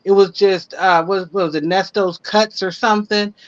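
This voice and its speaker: A woman's voice: medium pitch, fairly even intonation, normal speed. A woman panelist chatting casually and gossiping on a livestream. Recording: slightly muffled livestream audio.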